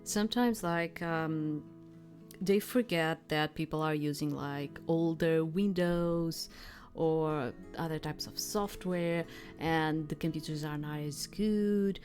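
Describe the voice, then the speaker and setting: Low-voiced woman reading a visual novel. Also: takes it slowly, a little mellow, soft background music. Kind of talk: narrating game text with casual asides.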